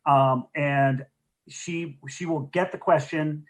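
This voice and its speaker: A man's voice: fairly high pitch, fairly even intonation, slow pace. A man speaking as a program director, giving an informal welcome and housekeeping notes as co-host of an online event on a video call.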